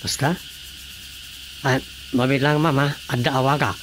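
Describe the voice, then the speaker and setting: An actor playing a talkative, easygoing, sympathetic village councilor in a radio drama comedy, with an Ilocano Filipino accent. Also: male voice, mid-range voice, little pitch movement, a little slow, a bit bright, slight background noise, close to an everyday voice. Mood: sympathetic, polite.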